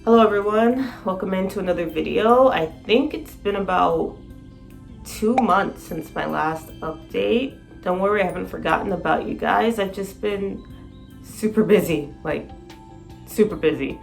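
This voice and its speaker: A sleep-deprived woman with a raspy voice, chatting excitedly in a casual vlog. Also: medium pitch, normal speed, faint music behind. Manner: excited, rambling, laughs often. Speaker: vlogger.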